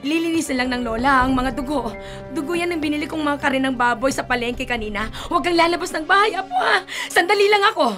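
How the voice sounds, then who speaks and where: A woman's voice: high voice, fast, fairly bright tone. An actress playing an anxious older grandmother and mother in a radio horror drama, talking with fretful worry. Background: dramatic music.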